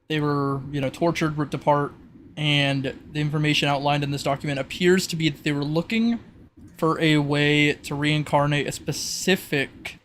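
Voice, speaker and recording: A man's voice: a little high, slight background noise. A man speaking as a tabletop game master, explaining options in a casual, improvised way. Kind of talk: improvised narration of tabletop roleplay.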